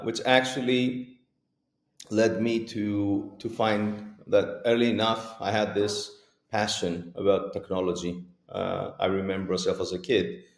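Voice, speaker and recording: Greek man in his 40s, low and gravelly, easygoing guest on a video call, echoey room. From Greece. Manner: relaxed, chuckling, with frequent fillers. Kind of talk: casual video-call interview.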